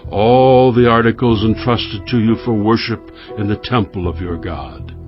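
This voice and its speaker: A man playing a regal, formal king dictating a decree in a measured, stately way for a dramatized scripture reading. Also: fairly low pitch, steady tone, close to an everyday voice. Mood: stately, commanding. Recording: muffled, music behind the voice. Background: music.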